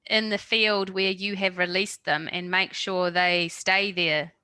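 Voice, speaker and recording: A woman's voice: fairly low pitch, fairly even intonation, unhurried, bright, forceful tone, smooth, no echo. A woman with a New Zealand accent, moderating an online Q&A and putting questions to a presenter, encouraging and polite, often saying "excellent". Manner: encouraging, apologetic, repeats "excellent". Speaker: workshop moderator.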